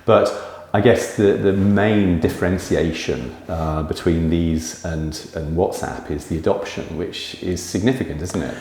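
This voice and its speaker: A male interview host with a fairly low voice and a polite, chatty manner. He often closes his points with tag questions. The recording has a noticeably echoey room sound. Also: measured pace, darkish tone. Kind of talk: hosted interview with a scripted intro.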